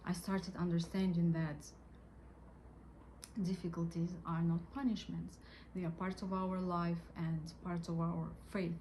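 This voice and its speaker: Earnest woman, low voice. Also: a little hiss or hum. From Russia. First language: Russian. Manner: earnest, gentle, pauses often with fillers. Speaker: convert sharing her faith story. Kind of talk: personal testimony.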